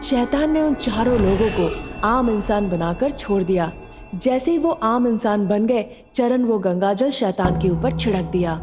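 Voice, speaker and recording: A woman's voice: medium pitch, animated, unhurried. A woman storyteller reading scripted narration of a tale. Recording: loud music behind voice.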